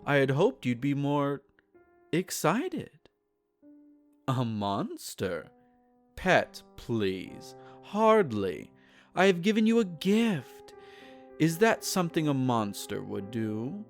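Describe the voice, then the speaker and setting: A man's voice: mid-range voice, sing-song, slow, soft background music. A man playing a possessive, aristocratic male vampire in an intimate roleplay audio drama, speaking formally, soothing yet condescending and menacing. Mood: smug.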